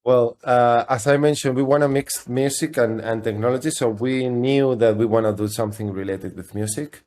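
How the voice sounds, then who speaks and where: A middle-aged man who speaks English as a second language, telling his story as an interview guest in a halting, conversational way with repeated phrases. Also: mid-range voice, a little mellow. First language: not English. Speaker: interview guest, software company CEO.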